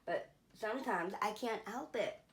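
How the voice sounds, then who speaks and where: A woman's voice: medium pitch, measured pace, echoey room, lightly acted. A woman voicing an earnest, curious young boy in a children's storybook, with simple questioning phrasing. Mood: regretful. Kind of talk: storybook character voice in a read-aloud.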